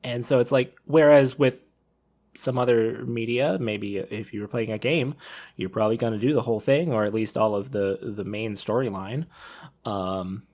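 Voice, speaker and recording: Guy on a podcast panel, casual, kinda rambly and halting, thinking out loud. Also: lowish voice, fairly even intonation.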